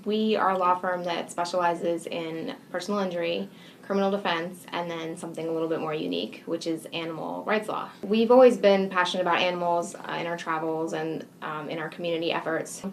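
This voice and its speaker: A woman's voice: fairly low pitch, steady tone, brisk, vocal fry, a little hiss or hum, low-quality recording. Upbeat woman, Spanish native speaker. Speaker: lawyer, firm co-founder. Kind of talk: casual interview.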